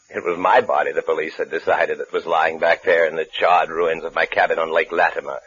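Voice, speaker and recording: A man's voice: rumbling low, flat delivery, normal speed, fairly bright tone, slightly rough, exaggerated voice. An actor playing a brooding, desperate man on the run for murder, narrating his own story in a 1950s radio suspense drama. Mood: brooding, calculating. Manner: brooding, fatalistic first-person storytelling. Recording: muffled vintage radio recording with music.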